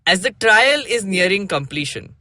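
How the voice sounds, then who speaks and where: A man's voice: high voice, big pitch swings, moderate pace, sharp tone. A man with an Indian accent hosting a casual podcast, reading a comic theatrically and breaking off for playful asides. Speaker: podcast host.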